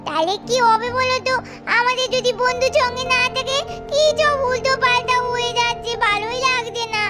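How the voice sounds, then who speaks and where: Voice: very high-pitched, steady tone, brisk, loud music behind the voice. A performer voicing an earnest, plaintive little doll girl in a children's cartoon. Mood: worried, sad.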